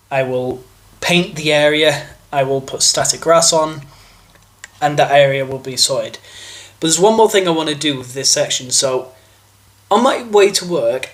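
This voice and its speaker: A man's voice: fairly high pitch, a bit bright. A young man with a stuffy, bunged-up voice from a cold, walking through his model railway layout in a rambling, casual way. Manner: rambling, thinks aloud with fillers and restarts. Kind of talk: casual show-and-tell walkthrough.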